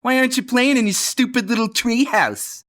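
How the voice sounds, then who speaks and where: A man doing a sneering high-voiced schoolboy bully. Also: moderate pace. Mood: taunting. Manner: taunting, loud.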